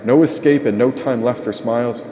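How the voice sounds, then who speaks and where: A man's voice: mid-range voice, brisk, mellow. A man reading an audiobook as a dramatic first-person story narration. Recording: echoey, long reverb tail.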